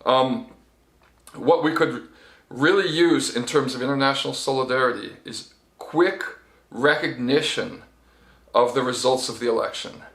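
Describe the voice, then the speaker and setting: A man's voice: mid-range voice, unhurried, a bit bright. A middle-aged man, a journalist giving a casual spoken report, talking conversationally with many fillers and restarts. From United States. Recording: echoey room.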